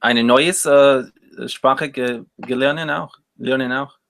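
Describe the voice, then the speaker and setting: A man's voice: mid-range voice, fairly even intonation, takes it slowly, gravelly, fairly bright tone. A man hosting a casual interview, asking simple questions and repeating answers back. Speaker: interviewer, host.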